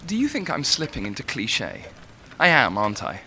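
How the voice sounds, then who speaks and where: A man's voice: mid-range voice, huge pitch swings, fairly quick, sharp tone, a little hiss or hum, heavily acted. A man acting an anguished, self-doubting young writer in an audio drama, lovesick, volatile and intensely emotional. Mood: brooding. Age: young adult.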